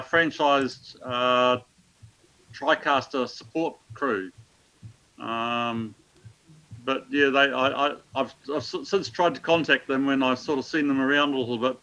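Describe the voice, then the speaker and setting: A man's voice: mid-range voice, sharp tone, muffled recording. A man, a guest on a live streaming show, chatting on a video call with many fillers and restarts. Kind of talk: casual video call chat.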